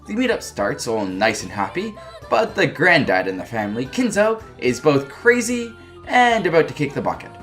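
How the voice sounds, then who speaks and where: Reviewer narration, lively swooping pitch, informal and wry delivery, music underneath. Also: moderate pace. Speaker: video game reviewer.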